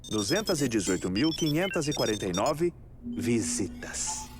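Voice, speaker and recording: A man with a Brazilian accent playing an eccentric, courteous, grieving scientist in a video game dub, speaking in a measured, articulate way. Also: medium pitch, sing-song, close to an everyday voice. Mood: matter-of-fact. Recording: music under the voice.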